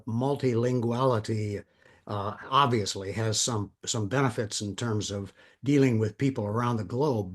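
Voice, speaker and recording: A man working as an interviewer, putting formal questions in deliberate, halting phrases. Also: medium pitch, husky.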